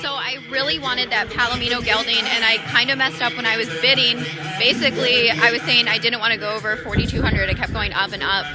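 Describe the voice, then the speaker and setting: A woman's voice: fairly high pitch, fairly even intonation, fast talker, few pauses, over loud music, poor audio. An American woman in her thirties talking casually and emotionally to camera, sounding upset and disappointed. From United States, Illinois. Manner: casual, filler-heavy, emotional. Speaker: vlogger. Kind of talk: candid confessional vlog to camera. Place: indoors in a barn. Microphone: phone at arm's length.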